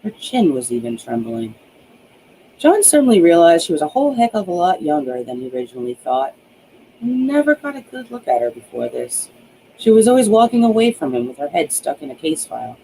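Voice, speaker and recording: Higher-voiced man reading a novel, noisy recording. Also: creaky, warm tone. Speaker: author reading own novel aloud. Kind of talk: audiobook narration of a romance novel.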